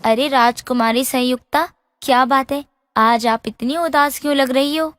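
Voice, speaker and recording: High-voiced, caring young royal companion. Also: female voice, steady tone, moderate pace, a bit bright, clean studio sound. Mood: curious, concerned. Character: caring, encouraging young female companion of a princess. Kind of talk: animated fairy-tale voice acting. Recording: long hall-like reverb.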